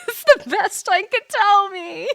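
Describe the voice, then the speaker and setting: A woman's voice: very high voice, takes it slowly, creaky, sharp tone, dry recording. A giggly, tipsy woman chatting casually as she streams a game, breaking off to react. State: tipsy, drinking wine. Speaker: streamer, virtual YouTuber playing a game live. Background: faint background music.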